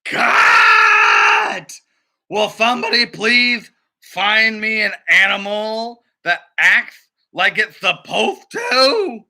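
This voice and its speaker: A man voicing a bossy, exasperated duck film director with a shouty, commanding manner in a picture book read-aloud. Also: high voice, wildly swooping pitch, very slow, gravelly, pitched above the performer's own voice, heavily acted. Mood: exasperated shouting.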